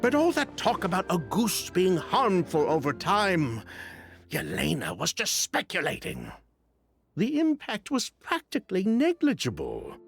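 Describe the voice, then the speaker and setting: A wry, expressive shady criminal. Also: male voice, high voice, a little slow, creaky, slightly rough, soft background music, exaggerated voice. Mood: defensive. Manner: wry, sighing, self-justifying. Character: evasive, defensive criminal man confessing past wrongdoing. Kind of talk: dramatic video game dialogue.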